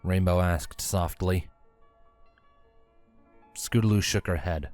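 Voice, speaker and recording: A man's voice: low voice, fairly even intonation, slow, a little mellow. A man reading scripted story narration as the narrator, close on the microphone. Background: background music.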